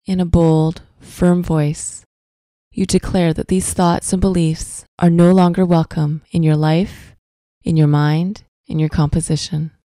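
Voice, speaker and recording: A woman narrating a scripted guided visualization in a soft, breathy, soothing voice, with deliberate phrasing and long pauses. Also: on the low side, little pitch movement, dry recording. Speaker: guided meditation host.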